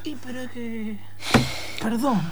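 A man playing a mild, apologetic police sidekick, soft and halting, in improvised radio comedy. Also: very high-pitched, fairly even intonation. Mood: meek. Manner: soft, halting, repeats words.

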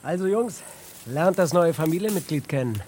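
Man acting volatile young father, wildly swinging pitch. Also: expressive, moderate pace, noisy background, close to an everyday voice. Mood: proud. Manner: curt, commanding. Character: volatile, hard-bitten young father of three boys. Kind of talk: radio drama acting.

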